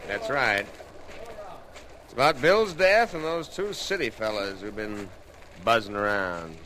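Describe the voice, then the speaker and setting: Brusque, animated backwoods mill hand. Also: male voice, on the low side, unhurried. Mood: secretive. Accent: American. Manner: blunt, folksy, clipped speech. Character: Johnny, brusque male sawmill worker, rural, secretive. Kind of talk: old-time radio drama acting. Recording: old radio recording, faint noise.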